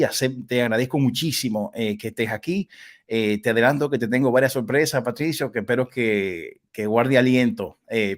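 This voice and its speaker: A man with a Dominican Spanish accent hosting a casual live interview, talking at length in an effusive, halting way. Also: mid-range voice. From Dominican Republic. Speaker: interviewer, host.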